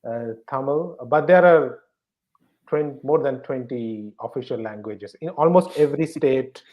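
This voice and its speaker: A man from eastern India, a native Bengali speaker with a Bengali Indian accent, answering casually in an interview at home in halting, repetitive phrases. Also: mid-range voice, a little mellow, low-quality recording. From West Bengal, eastern India. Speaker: podcast guest, bank professional.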